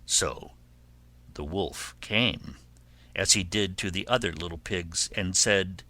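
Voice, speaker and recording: A man narrating a children's fairy tale as a storyteller, in a measured and expressive way. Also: deep voice, no echo.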